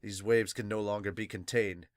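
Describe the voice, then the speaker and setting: A man's voice: lowish voice, fairly even intonation, moderate pace, no echo, studio quality, pitched below the performer's own voice, close to an everyday voice. A man voicing a haughty government elder with a formal, grave delivery in an amateur manga dub. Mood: grave, ominous. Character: solemn, haughty government elder plotting to cleanse the world.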